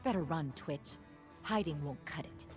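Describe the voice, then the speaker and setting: Young ninja assassin girl in a game, really low voice, stern n cocky, deadpan short cutting lines. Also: moderate pace, faint music behind. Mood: menacing, confident. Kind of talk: video game champion voice lines.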